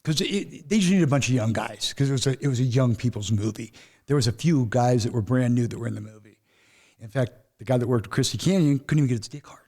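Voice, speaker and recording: Interview guest, man in his 50s, mid-pitched, rambly anecdote-teller. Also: expressive, quick pace, warm tone. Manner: rambling storyteller, often asks "you know what I mean?". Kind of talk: casual interview chat.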